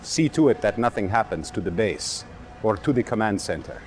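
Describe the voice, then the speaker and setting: An actor playing a brisk Soviet field officer, giving a formal, emphatic military briefing in a video game. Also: male voice, on the low side, big pitch swings, slight background noise. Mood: brisk, resolute.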